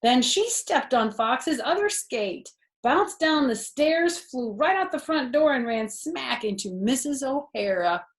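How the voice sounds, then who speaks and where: A woman's voice: high voice, lively intonation, a little slow. An older woman reading a children's storybook aloud expressively, with explanatory asides.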